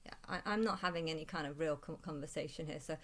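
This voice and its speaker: A woman giving a rambling personal monologue, halting and often restarting phrases, with exasperation when she retells exchanges. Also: low voice, a bit bright. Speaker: vlogger.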